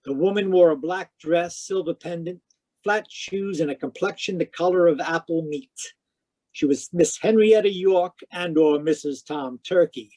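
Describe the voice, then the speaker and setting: An actor playing a hard-boiled private detective in old-time radio style, wry, clipped and matter-of-fact. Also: male voice, on the high side, normal speed, a little mellow, lightly acted. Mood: wry narration. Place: home, live video call performance.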